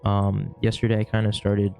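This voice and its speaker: A sick young man with a worn, gravelly voice, rambling casually through a vlog with lots of fillers and restarts. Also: lowish voice, monotone, moderate pace, a little mellow. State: sick, losing his voice. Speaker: vlogger; shift manager at work. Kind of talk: casual talking-to-camera vlog.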